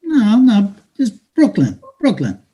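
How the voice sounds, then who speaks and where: Man acting a high-voiced, bitter, streetwise Brooklyn guy. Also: huge pitch swings, mellow, husky, exaggerated voice. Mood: bitter, weary. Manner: slangy, cynical, halting delivery. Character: bitter, streetwise Brooklyn-born man, grandson of a Haitian immigrant. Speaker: stage actor. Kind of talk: dramatic play reading.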